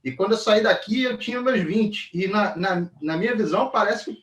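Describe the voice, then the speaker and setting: High-voiced Brazilian man, roomy sound. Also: moderate pace, fairly bright tone. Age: 40s. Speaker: co-host of a comics review channel. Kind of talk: casual review chat. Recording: roomy echo, distant mic.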